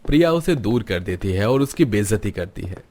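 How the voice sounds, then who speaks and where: A man's voice: lowish voice, expressive, a little fast, a little mellow. A man reading scripted narration for a moral cartoon story.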